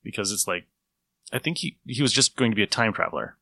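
A man co-hosting a casual review podcast, explaining in a geeky, animated way and repeating himself for emphasis. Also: fairly low pitch, fast talker, creaky, no echo, studio quality. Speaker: podcast co-host. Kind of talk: casual review chat about old radio episodes.

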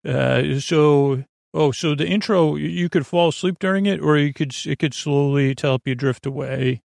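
A man hosting a sleep podcast, talking in a meandering, halting way with a gravelly voice that wanders off into tangents. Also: fairly high pitch, darkish tone, dry recording, clean studio sound. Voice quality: creaky, gravelly. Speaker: podcast host. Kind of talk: rambling bedtime sleep podcast monologue.

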